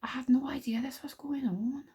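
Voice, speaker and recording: A woman's voice: sits high, fairly even intonation, normal speed, dark tone, slightly rough, voice pushed up. A woman voicing a feisty, outspoken young warrior girl in an audiobook, speaking with forthright emphasis. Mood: dismayed. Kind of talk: audiobook character voice.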